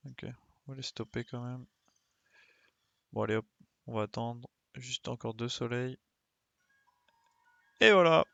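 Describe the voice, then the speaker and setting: A man's voice: mid-range voice, a little slow, gravelly, long pauses, sharp tone, no echo. A man doing casual, colloquial gaming commentary, thinking aloud and muttering to himself as he plays. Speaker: streamer playing a video game.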